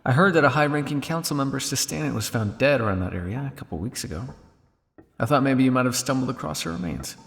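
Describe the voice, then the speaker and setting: Suave male vampire scientist, played by a man, cynical and condescending, gravelly creak, long reverb, ASMR roleplay. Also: medium pitch, a bit bright, soft background music. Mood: probing, wry. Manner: teasing, condescending, drawling with theatrical pauses.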